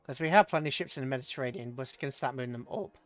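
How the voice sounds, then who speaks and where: A man's voice: mid-range voice, very fast, no echo, soft background music. A British man casually commentating a strategy game on a livestream, chatting with viewers and muttering rambling asides to himself as he plays.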